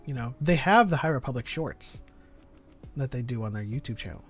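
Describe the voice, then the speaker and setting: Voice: medium pitch, animated, brisk, breathy edge, faint music behind. A podcast host chatting casually in a fan discussion. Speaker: podcast host reading viewer chat.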